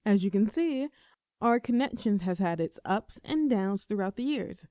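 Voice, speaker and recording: A woman's voice: medium pitch, expressive, normal speed, warm tone, no echo, studio quality, close to an everyday voice. A woman acting a nervous, earnest best friend in a roleplay audio, addressing the listener like a mock-formal presenter. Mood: mock-formal, playful. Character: nervous, earnest young female best friend confessing a crush.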